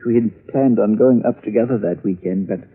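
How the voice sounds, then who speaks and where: An actor playing a frail, fussy, lonely old man in a 1950s radio drama, speaking in a halting, querulous, deliberate way. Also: lowish voice, breathy edge. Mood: troubled, irritated. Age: older. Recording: muffled old radio recording.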